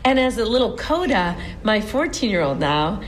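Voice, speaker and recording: A woman's voice: medium pitch, big pitch swings, moderate pace, a little hiss or hum. A woman in her forties from the United States, a stage performer being interviewed, telling her family story earnestly with lots of restarts. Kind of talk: casual interview chat.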